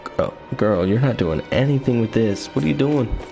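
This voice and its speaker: Gravelly joking man streaming a game. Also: mid-range voice, animated, moderate pace, dark tone, faint music behind. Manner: joking, self-mocking, chatty. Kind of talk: casual let's-play commentary.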